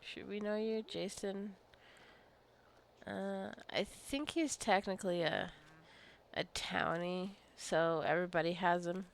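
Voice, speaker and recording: A woman's voice: fairly low pitch, steady tone, slow, vocal fry, slight background noise. A woman giving casual, rambling commentary while playing a game, jokingly scolding her characters and sounding a little tired. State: tired, wants coffee. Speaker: gameplay streamer.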